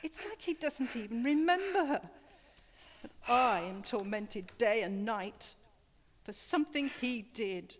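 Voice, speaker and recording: A woman's voice: fairly high pitch, expressive, unhurried. A woman playing a grieving, resentful mother sliding into madness, with brooding bitterness, in a horror audio drama. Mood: accusing, anguished. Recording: long reverb, faint noise.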